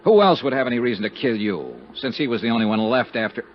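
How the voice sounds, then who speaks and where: An actor playing a tough, cynical American investigator in a 1950s radio drama, clipped, slangy and sardonic, both narrating and in dialogue. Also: male voice, medium pitch, animated, fast talker, natural voice. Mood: cold, sardonic. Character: hard-boiled male investigator, cynical, tough and world-weary. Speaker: lead actor and narrator of a radio detective serial. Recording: muffled, noisy 1950s radio recording.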